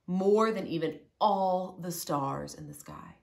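A woman voicing God in a storybook as a tender, assured promiser, speaking with solemn, deliberate emphasis. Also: on the low side, vocal fry. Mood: solemn promising.

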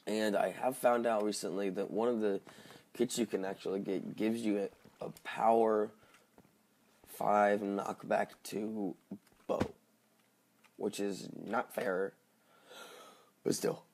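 A man with a slightly hoarse, just-woken voice giving casual, halting gameplay commentary as he thinks aloud. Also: fairly low pitch, flat delivery, a little mellow. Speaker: gaming video host.

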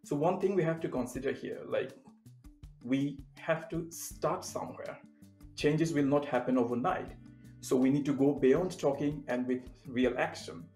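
A young man from Bangladesh, a sustainability consultant, giving a prepared remote talk in a steady, measured way with occasional fillers. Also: mid-range voice, reverberant, faint music behind. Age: young adult. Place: indoor room with plain wall.

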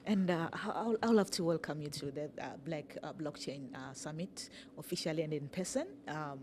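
A man interviewing a guest at an event, asking long halting questions with many fillers and restarts. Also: fairly high pitch, a little mellow, a little hiss or hum. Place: event venue, seated on sofa.

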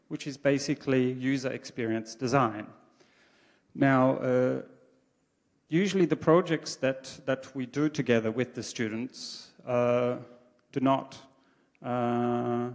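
A man, giving a conference talk on stage into a handheld mic, measured and thoughtful with frequent ums and restarts. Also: medium pitch, darkish tone. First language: English. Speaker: conference speaker. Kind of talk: conference presentation. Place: dark theatre stage with projection screen. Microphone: handheld microphone. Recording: long reverb of a large theatre hall.

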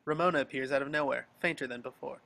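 A man's voice: mid-range voice, fast, vocal fry, pushed and bright. A man reading scripted action descriptions as narrator of a table read over a video call.

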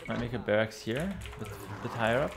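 A young man with a slightly raspy voice casually commenting on a strategy game he is playing while thanking chat, talking into a headset in a small room. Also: medium pitch, fast, background noise. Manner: relaxed, thinking aloud, reading chat. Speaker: streamer. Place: small home room, indoors.

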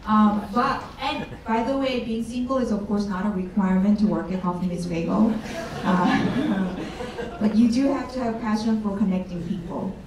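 A woman's voice: mid-range voice, fairly even intonation, moderate pace, background noise. Woman, startup co-founder on a panel, hesitant with fillers; far camera in a very echoey hall. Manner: hesitant, many fillers and restarts. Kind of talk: panel discussion answers. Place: large event room with audience. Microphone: handheld mic, far camera. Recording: echoey room recorded from far off.

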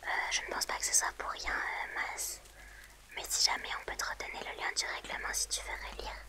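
A woman streamer whispering softly and gently to her viewers in an ASMR livestream, close to the mic. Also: a little fast.

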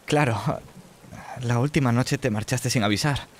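A man's voice: mid-range voice, moderate pace, lightly acted. A man playing an easygoing, concerned boyfriend on a phone call in a roleplaying game. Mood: concerned, friendly.

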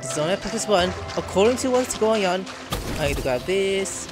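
A man giving casual gameplay commentary as a streamer, excitable and thinking aloud. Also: high voice, fairly quick. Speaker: game streamer. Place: indoor room at a computer. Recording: loud game music behind.

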